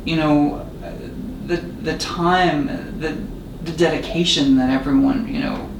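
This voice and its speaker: A man's voice: fairly high pitch, fairly even intonation, takes it slowly, vocal fry, far from the mic. A man chatting casually and conversationally about films. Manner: conversational, frequent "you know". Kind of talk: casual film chat. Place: indoor radio studio. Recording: roomy, noisy, off-mic studio recording.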